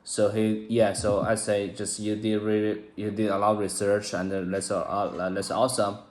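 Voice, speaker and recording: A man with a Chinese accent, a native Chinese speaker learning English, talking casually in halting, repetitive, broken phrases. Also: lowish voice, flat delivery, darkish tone. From China. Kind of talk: casual one-on-one conversation lesson. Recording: echoey room.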